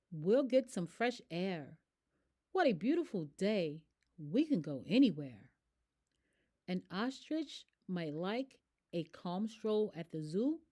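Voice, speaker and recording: A woman voicing an eager, easily exasperated little girl telling a rhyming picture book story in an animated, expressive way. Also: mid-range voice.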